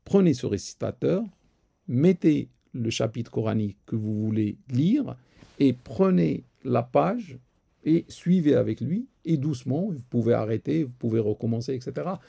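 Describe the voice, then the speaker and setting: Man, religious Q&A host, hesitant with fillers, dark mellow tone, gravelly. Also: mid-range voice, animated, moderate pace. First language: Arabic. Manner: hesitant, many fillers and repeated words. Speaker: imam answering questions. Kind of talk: live question-and-answer session. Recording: live stream recording.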